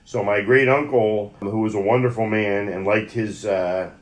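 Middle-aged American man, low register, interview guest spinning rambling personal anecdotes conversationally. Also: fairly even intonation, moderate pace, rough recording. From United States (Yonkers, New York). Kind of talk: conversational oral-history storytelling.

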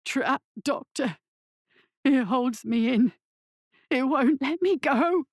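A woman voicing a tormented male patient in a horror audiobook, raspy and pleading. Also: medium pitch, takes it slowly, a little mellow, no echo, studio quality, heavily acted.

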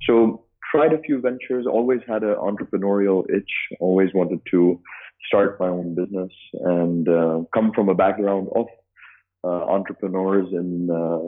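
Man, from Dubai, interview guest, halting with fillers, deep voice, phone-line sound. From Dubai, UAE. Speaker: guest, business co-founder. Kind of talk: casual interview answers. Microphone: phone call.

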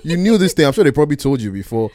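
A man's voice: on the high side, very animated, racing, a crowd in the background. A man co-hosting a podcast in a casual chat, blunt and opinionated, swearing freely. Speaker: podcast co-host.

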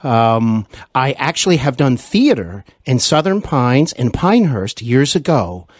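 A middle-aged American man hosting a conversational interview, agreeing often and phrasing things haltingly. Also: mid-range voice, sing-song, no echo, studio quality. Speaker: interview show host.

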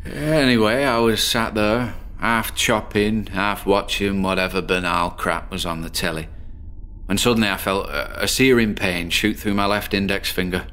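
A man acting as a morbid British loner from a shabby bedsit, confessing in a horror audio drama. His voice is low, and he rambles wearily and hesitantly, full of self-deprecation. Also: fairly even intonation, moderate pace, fairly bright tone, clear, resonant tone, close to an everyday voice. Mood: wry, rambling, then grim recollection.

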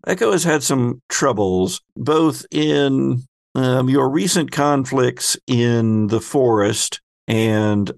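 Male game master for tabletop fantasy narration: unhurried storyteller with a gravelly edge and pregnant pauses. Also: mid-range voice, dry recording, studio quality. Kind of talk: narrating a tabletop role-playing game, recap and scene setting.

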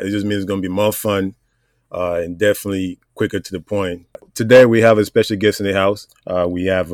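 Deep-voiced man hosting a podcast. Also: dry recording, studio quality. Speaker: podcast host. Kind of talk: casual interview chat.

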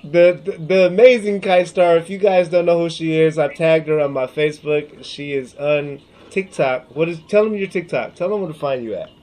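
A woman's voice: low voice, moderate pace, hardly any pauses, a little mellow. Podcast host, woman, 30s, brash and foul-mouthed, joking banter. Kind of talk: casual podcast chat.

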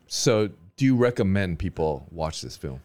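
A playful male host asks questions and throws in quick teasing jokes. His voice is on the low side but moves lively up and down, recorded close and dry. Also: no echo. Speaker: podcast host. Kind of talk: casual interview chat.

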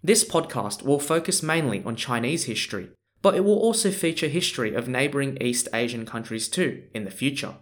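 A man's voice: medium pitch, animated, fairly quick. Man hosting a history podcast. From Australia. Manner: earnest, spells out foreign names letter by letter. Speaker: podcast host. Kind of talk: scripted history podcast narration.

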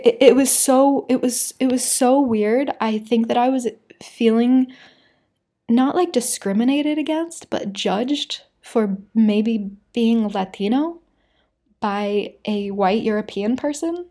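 A woman's voice: fairly high pitch, slow pace, warm tone. A young American woman telling a personal story to camera in a casual, candid way, full of "like" fillers. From United States. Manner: chatty, frequent "like" fillers, candid. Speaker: vlogger.

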